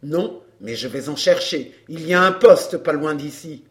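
A gruff fairground man from a storybook, acted out by a woman reader. The voice sits low, with a blustering, colloquial edge. He sounds resentful and uneasy, a jilted man full of threats. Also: a little slow. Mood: angry, threatening. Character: gruff, angry jilted fairground man, anxious yet vengeful.

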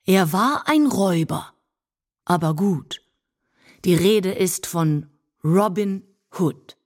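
Langsam vortragende Frau, gereimte Verse. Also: female voice, fairly low pitch, animated, slow. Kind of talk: scripted rhyming verse recitation for a radio play.

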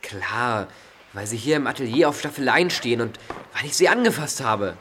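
A man's voice: mid-range voice, lively intonation, normal speed, fairly bright tone, a little hiss or hum, close to an everyday voice. An actor playing a clever, impatient teenage boy detective in a German children's audio drama, explaining and scolding in an exasperated way. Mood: confident, explaining.

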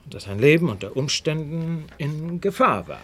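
Self-assured detective, wildly varied intonation. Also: male voice, a little high, lively intonation, moderate pace, a bit bright, husky. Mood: explaining, grave. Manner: articulate, lecturing, theatrical. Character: brilliant, self-assured male detective, precise and superior. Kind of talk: German radio play acting.

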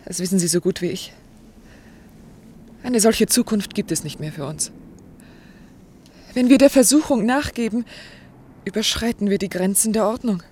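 An actress playing an anguished, dutiful clinic worker in love in a German radio drama, earnest, formal and restrained. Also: female voice, mid-range voice, moderate pace, pauses often, a little mellow, slight background noise, natural voice. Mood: anguished, resolute. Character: anguished, resigned, dutiful woman working at a clinic, in love with a doctor.

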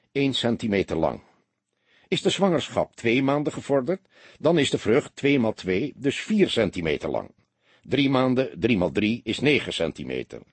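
A man voicing an older, experienced police detective in an audiobook, a patient mentor who explains deliberately with mild reproach. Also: mid-range voice, slightly rough, no room sound. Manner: didactic, deliberate, mildly reproachful. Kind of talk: audiobook character dialogue.

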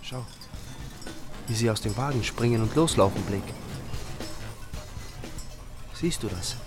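A man's voice: on the low side, expressive, slow pace, music in the background. An actor playing a puzzled young shepherd talking wonderingly to his dog in a radio crime drama. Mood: puzzled, uneasy. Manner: wondering, questioning.

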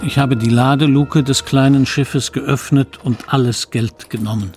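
A man's voice: mid-range voice, fairly even intonation, unhurried, a little mellow, faint music behind, close to an everyday voice. A man acting as a reflective, confessional narrator who recalls his guilty boyhood in a radio drama. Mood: remorseful, reflective. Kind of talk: scripted first-person radio drama narration.

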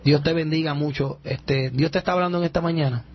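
Radio preacher guy, talks fast, repeats himself a lot, muffled radio audio. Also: on the high side, fairly even intonation, slight background noise. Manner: fervent, repetitive, rising to shouts. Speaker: radio show host. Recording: dull lo-fi radio sound.

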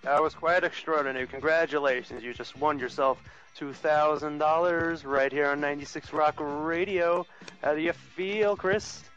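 A man's voice: a little high, steady tone, normal speed, a bit bright. A man acting as a radio host, casually giving polite, hesitant instructions over a phone call. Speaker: prank caller posing as radio station host. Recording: muffled lo-fi phone call with music.

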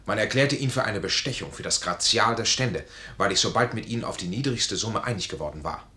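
An actor playing a proud, stubborn, honour-bound army major in a formal, declamatory 1950s radio drama style. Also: male voice, on the low side, lively intonation, a little fast, bright, forceful tone. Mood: bitter, explaining. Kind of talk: 1950s German radio play acting. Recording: echoey vintage 1950s radio studio recording.

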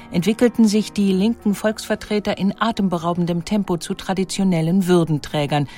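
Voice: mid-range voice, a little mellow. Documentary narrator over music. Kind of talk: scripted audio documentary narration.

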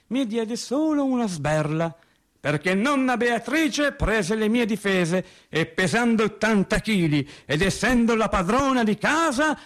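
A male actor playing a wry storyteller recalling boyhood with childlike logic, in an expressive, conversational theatrical monologue. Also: high-pitched, exaggerated voice. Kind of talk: theatrical first-person radio monologue.

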